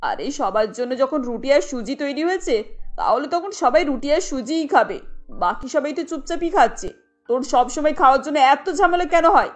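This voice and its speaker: A woman's voice: high voice, animated, normal speed, faint music behind. A woman voicing an exasperated, bossy cartoon mother-in-law who scolds and gives orders to her family. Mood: scolding, exasperated. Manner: scolding, commanding.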